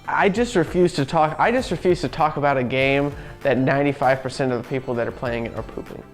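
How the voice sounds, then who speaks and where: A man's voice: medium pitch, a little fast, soft background music. A man co-hosting a show about video games, talking through his commentary. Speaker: show co-host. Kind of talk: scripted narration and casual opinionated talk about video game films.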